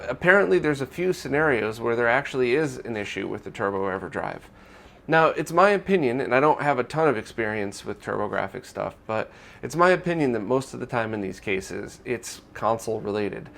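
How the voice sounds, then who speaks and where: A man's voice: lowish voice, lively intonation, a little fast, gravelly, fairly bright tone. A man hosting a news roundup, explaining updates to viewers in a conversational, semi-scripted way. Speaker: host of a retro gaming news roundup.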